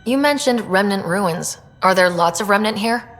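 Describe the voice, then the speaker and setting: A woman plays a young explorer heroine in a video game. Her mid-pitched voice is courteous and inquisitive, asking in a plain, everyday way. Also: animated, moderate pace, slight background noise, lightly acted. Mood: polite curiosity. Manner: courteous, questioning. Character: polite, inquisitive young female human explorer. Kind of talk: video game dialogue acting.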